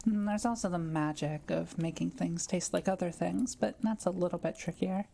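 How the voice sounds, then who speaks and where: Kind forest witch played by a woman, intimate roleplay; soft mellow tone, hesitant and gentle, faint noise behind the voice. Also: lowish voice, moderate pace, vocal fry. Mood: warm, hospitable. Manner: gentle, hesitant, softly teasing. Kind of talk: intimate audio roleplay, speaking to the listener.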